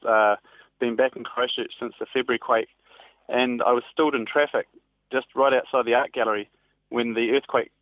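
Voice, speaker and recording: Halting New man on tinny phone line. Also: mid-range voice, dry recording. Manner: hesitant, halting. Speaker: newspaper reporter interviewed by phone. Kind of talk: spontaneous eyewitness account. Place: on a telephone call. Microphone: telephone.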